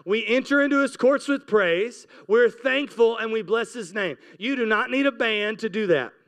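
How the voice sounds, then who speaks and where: High-pitched man preaching a sermon. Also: normal speed, a bit bright. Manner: exhorting, repetitive urging. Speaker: pastor. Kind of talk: impassioned preaching sermon with scripture reading. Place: church stage, indoors. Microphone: handheld microphone held close.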